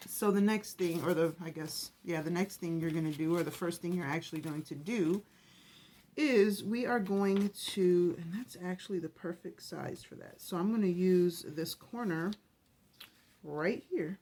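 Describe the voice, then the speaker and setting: A woman's voice: fairly low pitch, unhurried, dark tone. Chatty woman with a scratchy morning voice. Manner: chatty, rambling, self-correcting. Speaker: craft channel host. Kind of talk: casual craft tutorial.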